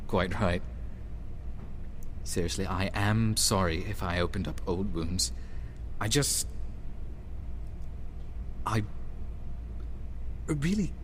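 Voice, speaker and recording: An actor playing a loyal, caring male friend in an audio drama, gently teasing and sincere. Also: low-pitched, animated, takes it slowly, long pauses. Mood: sincere, apologetic. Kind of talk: naturalistic audio drama acting. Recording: background ambience and faint noise.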